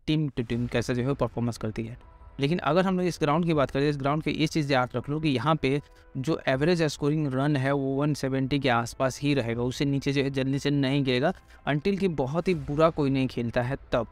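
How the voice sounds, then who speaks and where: Fast-talking Indian man explaining cricket. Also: medium pitch. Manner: repetitive, explanatory, addresses listeners directly. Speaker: cricket pitch report presenter. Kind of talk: informal explanatory sports analysis.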